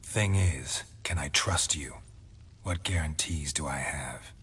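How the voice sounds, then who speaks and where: An actor playing a stoic, terse warrior in a video game, with a husky, gravelly voice and deadpan manner. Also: male voice, rumbling low, takes it slowly, heavily acted. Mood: stoic, wary. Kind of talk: dramatic video game acting.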